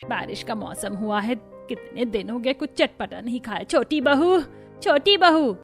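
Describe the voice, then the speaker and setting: Woman acting a bossy high-voiced mother-in-law. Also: animated, measured pace, faint music behind. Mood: demanding. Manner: scolding, commanding. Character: bossy, demanding older Indian mother-in-law. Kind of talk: Hindi cartoon story acting.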